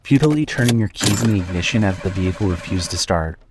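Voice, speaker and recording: A man's voice: sits low, lively intonation, fast talker, background noise. A man narrating a scripted horror story as the storyteller of an audio drama.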